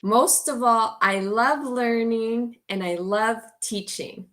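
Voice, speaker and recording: A woman teacher from Guatemala, giving a slow, clear orientation to an online English as a course. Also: a little high, a bit bright. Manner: slow, deliberate delivery for language learners.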